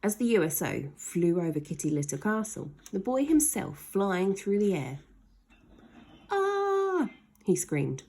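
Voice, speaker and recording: A woman's voice: on the low side, expressive, normal speed, few pauses, mellow, breathy edge. A woman reading a children's story aloud expressively, stretching key words for dramatic emphasis.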